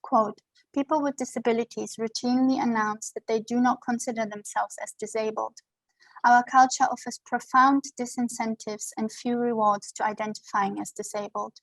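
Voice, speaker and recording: A woman speaking English as a second language after German reads a prepared lecture in an earnest, measured way. Her voice sits a little high but keeps a very level, unvaried line. The sound is dry and close, coming over a video call from home. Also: steady tone, no room sound, rough recording. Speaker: guest lecturer.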